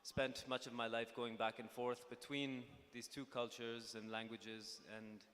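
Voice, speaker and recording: A young man, a documentary filmmaker, giving an unscripted introduction on a conference panel and stopping often to restart and fill pauses. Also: mid-range voice, flat delivery, fairly bright tone, a little hiss or hum. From Pakistan (born in the United States). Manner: hesitant, many fillers and restarts. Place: conference stage in a large hall. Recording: hall reverb with faint ambience.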